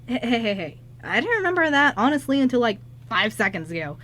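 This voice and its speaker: A woman's voice: on the high side, big pitch swings, a little fast, fairly bright tone. A woman playing a flustered, kind-hearted male ghost who stammers and rambles in an amateur radio drama. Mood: earnest.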